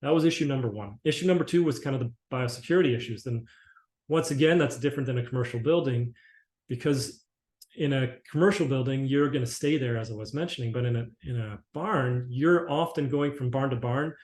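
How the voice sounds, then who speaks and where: A man's voice: medium pitch, brisk, a little mellow. A man from Canada giving a webinar presentation for his company, rambling with many restarts and fillers. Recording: echoey room.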